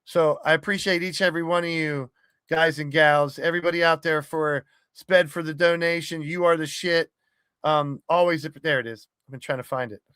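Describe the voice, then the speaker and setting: Man, gaming podcaster. Forceful, slightly high voice, blunt and loose casual delivery, dry recording. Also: steady tone, moderate pace, smooth, dry recording. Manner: blunt, sweary, rambling. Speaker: podcast host and wrestling game streamer. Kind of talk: casual monologue to an online community.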